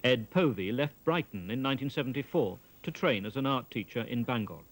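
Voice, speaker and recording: A man reading documentary narration in a measured, matter-of-fact voice. His pitch sits in the middle range for a man. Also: expressive, husky. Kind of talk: scripted narration.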